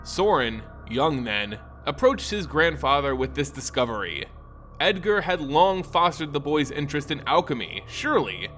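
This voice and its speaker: Animated, high-pitched male story narrator over music. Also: moderate pace. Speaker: lore channel narrator. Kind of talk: dramatic scripted story narration. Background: background music.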